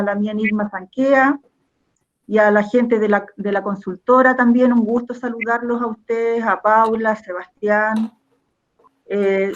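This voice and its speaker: A Chilean woman working as a government cultural officer gives a fraternal welcome to a workshop on a video call. Her voice is slightly high and she restarts phrases now and then. The audio is dull and lo-fi. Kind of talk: formal welcome speech.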